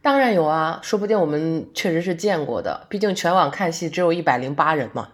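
A woman's voice: low voice, lively intonation, a little fast. A woman acting a friendly, reflective working woman, a young adult theatre fan, in conversational, naturalistic audio drama dialogue. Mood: friendly, curious.

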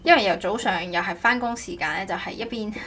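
A woman's voice: mid-range voice, fast, a bit bright, husky. A woman chatting casually as a podcast host, rambling and often correcting herself. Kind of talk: casual chat.

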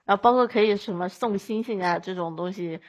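A woman from Chongqing, China, chatting casually as a podcast guest in an animated storytelling way. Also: mid-range voice, fairly quick.

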